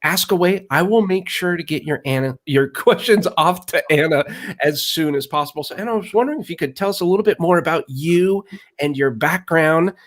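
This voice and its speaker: A man hosting an interview, excitable and rambling, often restarting his sentences. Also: fairly high pitch, brisk. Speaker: podcast host.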